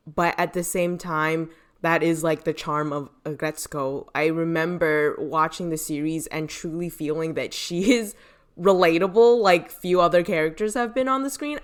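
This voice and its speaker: A woman's voice: deep voice, clean tone. A woman co-hosting a podcast, giving her opinions in an informal, casual chat and qualifying them as she goes. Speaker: podcast host.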